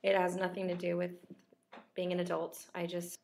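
A woman's voice: lowish voice, monotone, moderate pace, gravelly, darkish tone. A young woman who is losing her voice, chatting casually on a livestream and reading out viewers' questions in a self-deprecating way. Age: young adult. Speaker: actress chatting with fans on a livestream. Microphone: laptop webcam at close range.

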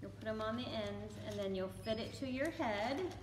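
A woman's voice: medium pitch, normal speed. An American woman giving a casual children's craft demonstration. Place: library room with bookshelves. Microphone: camera a few meters away. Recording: echoey room, clear background noise.

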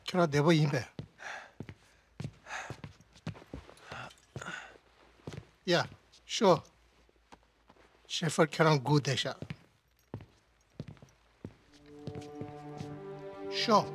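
Brisk, higher-voiced Tibetan village man played by an actor. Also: natural voice. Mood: insistent. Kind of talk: video game cutscene acting in Tibetan. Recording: faint music under the dialogue.

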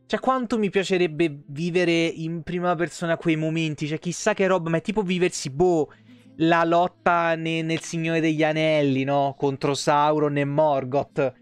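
A man's voice: fairly high pitch, steady tone, moderate pace, clear, resonant tone. A man, a game streamer reading lore aloud with casual, irreverent asides. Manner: irreverent, foul-mouthed asides. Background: background music.

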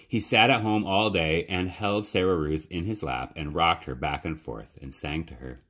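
A man reading a children's novel aloud as a library storyteller, with measured, dramatic pauses. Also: low voice, fairly bright tone. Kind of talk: expressive children's audiobook-style narration.

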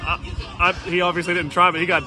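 A man in his thirties, a soccer coach giving casual, measured post-match answers outdoors, repeating words as he gathers his thoughts. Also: sits high, little pitch movement, music in the background. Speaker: high school soccer coach. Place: outdoor stadium trackside.

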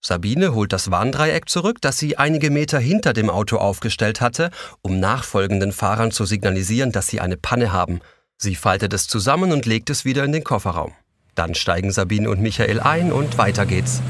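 A male narrator tells a scripted children's story. His voice sits fairly low, in the manner of an audio drama storyteller. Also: expressive, normal speed, fairly bright tone.

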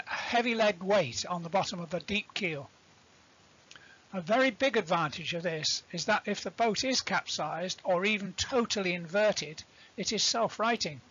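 A man giving an explanatory lecture as an instructor, deliberate and didactic, defining terms as he goes. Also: high-pitched, fairly bright tone, breathy edge, lo-fi sound. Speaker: instructor presenting a sailing tutorial.

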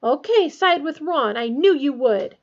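Woman playing emphatic, prickly teenage schoolgirl, husky edge. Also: high-pitched, expressive, normal speed, a little mellow, lo-fi sound. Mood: shrill, angry. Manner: earnest, emphatic. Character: studious, earnest teenage girl, prickly and easily affronted.

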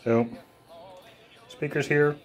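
A man giving a casual, unscripted product demo in an offhand, halting way, thinking aloud as he goes. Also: a little high, fairly even intonation, faint music behind. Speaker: presenter of a gadget demonstration. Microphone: phone held near the hands filming.

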